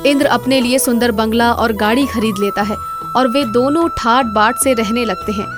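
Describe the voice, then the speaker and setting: A woman narrating a scripted moral story as the storyteller. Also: fairly high pitch.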